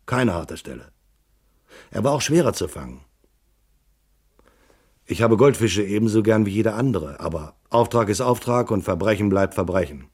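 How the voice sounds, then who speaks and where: A man's voice: lowish voice, a little mellow, husky. A man playing a tough private detective who narrates a hard-boiled radio crime drama tersely and matter-of-factly. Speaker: first-person narrator.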